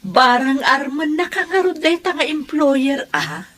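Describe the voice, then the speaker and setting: A woman playing a teasing, lively mother. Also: high voice, measured pace, full character voice. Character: teasing proud mother of a grown daughter.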